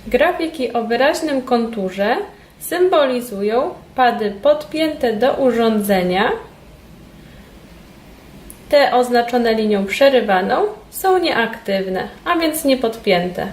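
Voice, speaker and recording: Female instructor, roomy and echoey sound, formal scripted training narration, measured delivery. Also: fairly high pitch, lively intonation. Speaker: instructor presenting a beauty device training film. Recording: roomy echo, distant mic, background noise.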